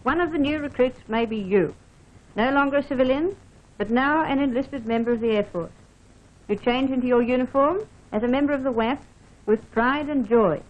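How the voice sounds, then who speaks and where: A woman with a high voice narrates an air force training film. Her delivery is scripted, formal and instructive, speaking straight to the listener. The sound is dull and narrow, like an old film soundtrack. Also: normal speed. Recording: dull band-limited vintage film soundtrack.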